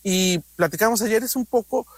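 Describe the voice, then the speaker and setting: A man's voice: sits high, normal speed, no room sound. A man with a Mexican accent talking conversationally as a radio host, explaining travel matters and repeating phrases for emphasis.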